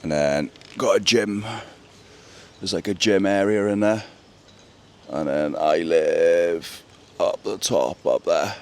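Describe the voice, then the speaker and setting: Young guy vlogging, super deep voice, chatty and earnest. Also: slow pace, long pauses, a little mellow. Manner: chatty, earnest, talking straight to camera. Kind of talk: casual vlog monologue. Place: indoors, then outdoors by a pool.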